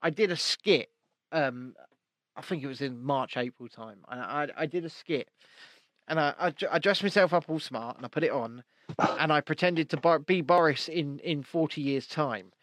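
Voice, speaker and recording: A man's voice: fairly high pitch, moderate pace, fairly bright tone, no room sound. A man co-hosting a casual live-stream podcast, chatty and jokey, rambling through restarts. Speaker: podcast co-host.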